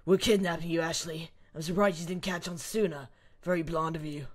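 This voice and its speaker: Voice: medium pitch, steady tone, brisk, natural voice. A reader voicing a menacing, smug military man who sneers and commands in an amateur dramatic reading. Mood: smug taunting. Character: menacing, smug male military villain and kidnapper.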